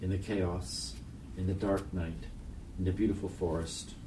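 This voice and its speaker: A man reading a literary poem aloud with deliberate, spare phrasing. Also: sits low, level tone, far from the mic, noisy background. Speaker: poetry reader.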